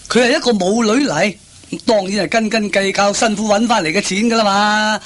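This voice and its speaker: A man's voice: high-pitched, brisk, fairly bright tone. An actor playing a glib, self-assured male workmate who coaxes and schemes in a radio comedy. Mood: scheming, helpful. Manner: coaxing, glib, exclamatory. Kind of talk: Cantonese radio comedy acting.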